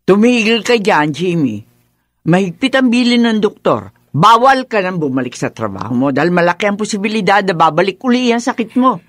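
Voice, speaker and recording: A woman plays an elderly Filipino mother in a radio drama, fretful and bossy. Her pitch moves in lively swings as she nags and exclaims. The sound is dry and close. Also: a little fast, dry recording, close to an everyday voice. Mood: scolding, worried. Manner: nagging, scolding, exclamatory. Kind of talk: Tagalog radio drama acting.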